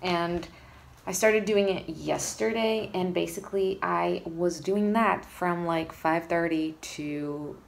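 A groggy young woman talking to her phone in a small room at home, creaky voice, rambling through her day. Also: fairly low pitch, slow. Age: 20s. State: tired, groggy. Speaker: vlogger. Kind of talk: casual chat to camera. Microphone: phone or camera at arm's length.

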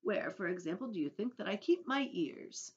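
A woman's voice: medium pitch, lively intonation, normal speed, a little mellow, pitched above the performer's own voice, natural voice. Storybook old male grasshopper, performed by a woman: avuncular, courtly, proud, swinging melody, dull recording. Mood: gently amused. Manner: genteel, theatrical, avuncular.

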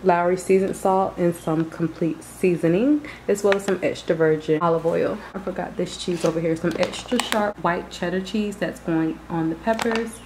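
A woman's voice: lowish voice, steady tone, normal speed, few pauses, noisy background. A woman vlogger talking casually and informally to her viewers, in a chatty way. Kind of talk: casual grocery haul vlog voice-over.

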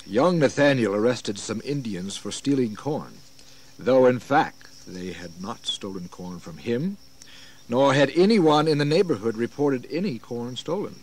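An actor playing a polite colonial gentleman advising his superior in a historical audio drama, formal and measured. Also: mid-range voice, expressive. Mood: courteous concern. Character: deferential colonial gentleman counselling the governor. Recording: faintly noisy 1980s drama recording.

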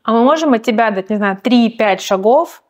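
A woman's voice: on the high side, expressive, moderate pace. A woman hosting an interview, asking questions in a chatty, informal way and murmuring along as she listens. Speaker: host, interviewer.

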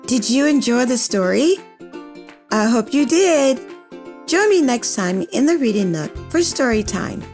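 A woman reading a children's picture book aloud in an expressive, deliberate, sing-song way for young listeners. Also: fairly high pitch, music in the background. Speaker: storybook reader and host of a read-aloud channel.

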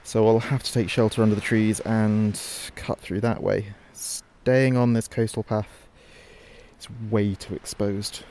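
A man acting as a radio DJ in an audio drama, reporting a disaster live in a halting, anxious, fearful way. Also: lowish voice, little pitch movement, darkish tone, a little hiss or hum. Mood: wary, weary.

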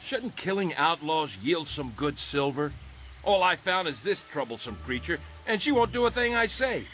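A man's voice: fairly high pitch, big pitch swings, normal speed, fairly bright tone. A man playing a greedy, cruel soldier in a video game, grumbling and menacing. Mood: grumbling, callous. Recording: game dialogue under music.

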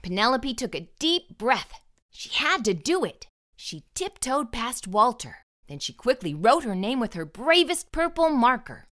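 Lady reading a kids book out loud, bright voice, really expressive, pitch goes up and down. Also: unhurried. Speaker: storybook read-aloud host. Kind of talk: children's storybook narration.

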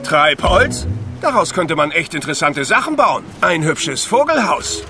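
A man's voice: on the high side, big pitch swings, unhurried, vocal fry, exaggerated voice. A man playing a cheerful, easygoing mechanic in a children's audio drama. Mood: interested. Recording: music behind the voice.

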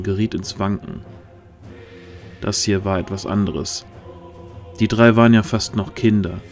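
A man as narrator, reading a scripted fantasy story in a dramatic storytelling style. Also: lowish voice. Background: background music.

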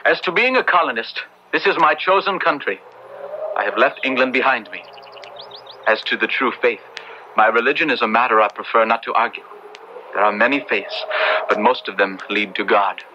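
A man's voice: mid-range voice, normal speed, sharp tone. A man playing an earnest, principled young clergyman in an old radio drama, speaking formally and with passion. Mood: guarded. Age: young adult. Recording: muffled, faintly noisy old radio recording.

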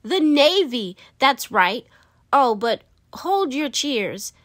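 A woman hosting a children's read-aloud, narrating rhyming storybook lines in an expressive, deliberate and emphatic way for young listeners. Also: high voice, no room sound, clean studio sound.

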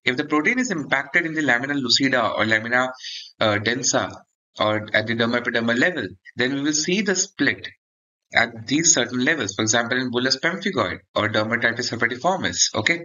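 Man, medical lecturer, very sharp bright voice, step-by-step explaining, dull lo-fi recording. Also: mid-range voice, expressive, a little fast. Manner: repeats and checks with "okay?". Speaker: dermatology lecturer. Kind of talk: explanatory exam-oriented lecture.

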